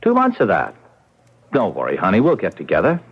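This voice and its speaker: A man's voice: fairly low pitch, wildly swooping pitch, brisk, very noisy. An actor playing a coaxing travelling ballplayer wooing his sweetheart in a 1970s radio drama. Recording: muffled vintage radio broadcast.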